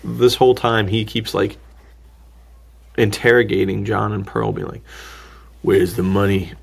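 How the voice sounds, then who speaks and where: A man's voice: on the low side, steady tone, unhurried, creaky, slightly rough. A man chatting casually and jokingly as a podcast host, recapping a film in slangy, loose language. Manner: slangy, jokey, acts out dialogue mockingly. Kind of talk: casual movie recap chat.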